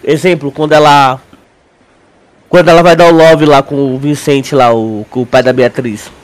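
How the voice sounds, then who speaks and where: A man's voice: medium pitch, fairly even intonation, normal speed. A young man with a Brazilian accent chatting casually as a podcast co-host, using lots of slang and filler words. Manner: slangy, with frequent filler words like "tipo" and "mano". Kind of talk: casual chat about a sci-fi audio drama.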